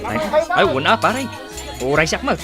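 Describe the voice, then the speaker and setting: A man's voice: fairly high pitch, sing-song, normal speed, over loud music. A man with an Ilocano Filipino accent playing a brash, scheming, persuasive friend in a radio drama. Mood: teasing. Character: brash, scheming male buddy who tempts his friend.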